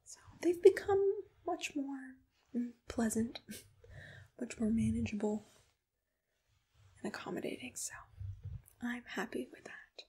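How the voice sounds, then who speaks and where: A woman playing a gentle, soothing monster matchmaker in a whispered ASMR roleplay, unhurried and reassuring. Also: fairly high pitch, expressive, heavily acted. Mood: pleased, businesslike. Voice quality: breathy, whispery. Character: soft-spoken, accommodating female monster matchmaker. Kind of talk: ASMR personal-attention roleplay, mostly whispered.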